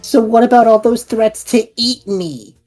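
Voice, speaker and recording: A woman's voice: a little high, steady tone, a little slow, darkish tone, soft background music, close to an everyday voice. A woman playing a bemused, anxious adventurer friend in an audio drama, incredulous and hesitant. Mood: puzzled.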